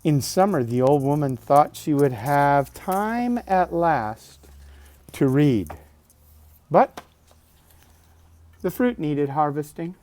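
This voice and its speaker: A man reading a children's picture book aloud expressively, drawing out words, pausing and adding casual asides. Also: fairly high pitch. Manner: expressive, with drawn-out words and pauses. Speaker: storybook reader.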